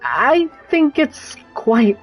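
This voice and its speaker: A man's voice: extremely high pitch, huge pitch swings, drawn-out words, husky, faint music behind, full character voice. A man voicing an easygoing young male traveler hero in a video game, talking casually and a little hesitantly.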